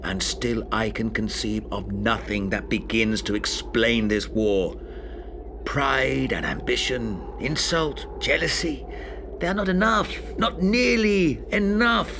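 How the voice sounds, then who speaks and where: An actor playing a stoic, weary warlord defender in an audio drama, formal, eloquent and grave. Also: male voice, medium pitch, noisy background. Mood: grave, troubled, then indignant.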